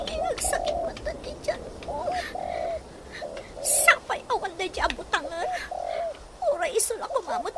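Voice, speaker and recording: A woman's voice: very high-pitched, heavy background noise, full character voice. A Filipino woman acting in a radio drama as an anguished young pregnant daughter, whimpering and pleading with her mother.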